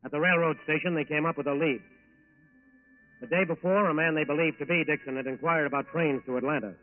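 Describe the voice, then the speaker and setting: A man's voice: a little high, fast. A man delivering formal, dramatic scripted narration for a 1940s radio crime show. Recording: muffled old radio broadcast recording.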